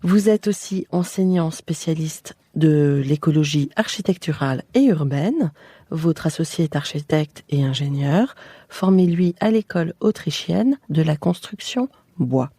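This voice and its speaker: A woman hosting an architecture podcast interview, reading her introductions and questions in a poised, measured way. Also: low-pitched, expressive, mellow, husky, dry recording. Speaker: podcast host.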